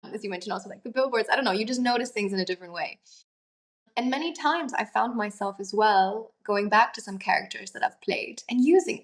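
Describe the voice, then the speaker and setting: A young adult woman, an actress and singer, talking earnestly in a conversational interview, musing aloud with lots of fillers. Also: mid-range voice.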